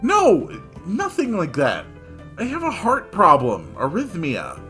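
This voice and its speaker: Man playing a awkward teenage boy, earnest, very animated pitch, emphatic, self-conscious, visual novel hero, music behind. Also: takes it slowly, full character voice. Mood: blurting denial.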